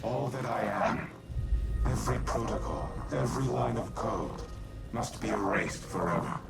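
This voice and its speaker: Game cast: a man playing a calculating old machine intelligence, deep and gravelly, formal cadence, heavy score behind. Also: normal speed, close to an everyday voice. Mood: resolute. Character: ancient male warmind AI, solemn and calculating. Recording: game audio in a reverberant space with loud music.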